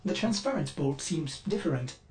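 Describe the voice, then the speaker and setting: An eager, anxious AI servant from a sci-fi game, fussy and servile, swinging widely in pitch. The voice is glitchy and robotic, with clear noise and effects behind it. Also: male voice, lively intonation, normal speed. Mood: fussy, reporting. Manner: fussy servile politeness with sudden dark outbursts. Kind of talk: scripted video game voice acting.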